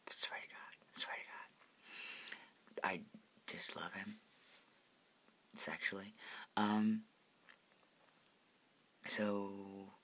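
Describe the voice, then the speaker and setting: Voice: low-pitched, creaky, bright, forceful tone, a little hiss or hum. A person in their late twenties whispering a casual, profane chat from a parent's house, full of "like" fillers. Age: 20s. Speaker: video blogger answering viewer requests.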